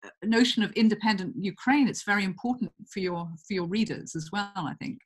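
A woman's voice: medium pitch, quick pace. A woman in her fifties with a British accent, hosting an interview over a video call and reading out audience questions in a friendly way. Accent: British English. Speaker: interviewer and host. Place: room with bookshelves at home.

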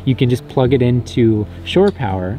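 A man's voice: mid-range voice, animated, normal speed, mellow. Easygoing young man narrating a van trip. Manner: easygoing, playful teasing. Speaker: travel vlogger. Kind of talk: casual travel vlog narration. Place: outdoors on a windy beach and in a camper van. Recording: background music under voice.

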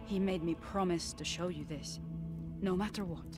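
A woman's voice: fairly low pitch, fairly even intonation, normal speed, loud music behind the voice, natural voice. A woman playing a serious supersoldier in a video game, giving a restrained, matter-of-fact military report. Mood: sober, reporting. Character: serious, terse female supersoldier reporting to commander. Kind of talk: video game cutscene acting.

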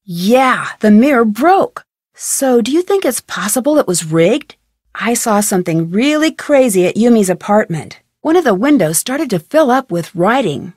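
Young woman detective, fairly high voice, curious, composed, earnest, clear diction, adventure game dialogue, dry close recording. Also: big pitch swings, moderate pace, a little mellow, no room sound. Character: curious, level-headed young female amateur detective. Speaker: voice actor, game protagonist.

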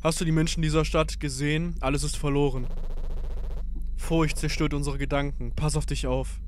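A man voicing a wary, fearful townsperson in a video game, speaking in a low, gloomy murmur. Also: little pitch movement, normal speed, a little mellow, a little hiss or hum, natural voice.

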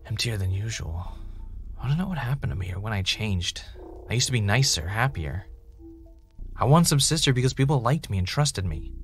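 A man playing a weary, low-voiced former champion. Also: expressive, moderate pace, faint music behind, close to an everyday voice. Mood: drained, downcast. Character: weary, jaded former reality show winner turned podcaster. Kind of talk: audio drama dialogue and confessional narration.

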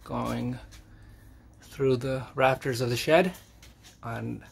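A man's voice: medium pitch, steady tone, slow, vocal fry, slightly rough. A man narrating a home DIY project step by step in a plain, matter-of-fact way, with the odd filler. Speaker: DIY channel host. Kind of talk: casual DIY voice-over walkthrough.

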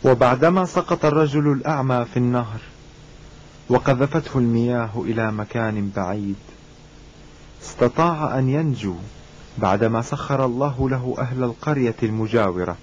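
A man with a medium-pitched voice narrates a cartoon tale from a script. The old soundtrack is dull, with faint noise behind him. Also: moderate pace, darkish tone.

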